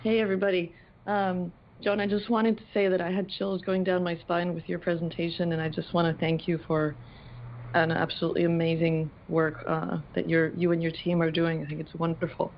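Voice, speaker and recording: A woman's voice: lowish voice, flat delivery, brisk. A woman calling in to a conference call from home, chatty and enthusiastic, with many restarts. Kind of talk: casual call-in comment. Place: home, California. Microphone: phone or computer on a conference call. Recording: muffled conference-call audio, faint noise.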